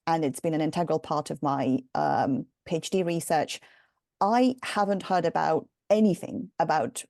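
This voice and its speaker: A woman's voice: lowish voice, dark tone, no room sound, clean studio sound. A young woman from London talking articulately as a podcast guest about her research. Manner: articulate, some fillers. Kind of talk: casual podcast chat.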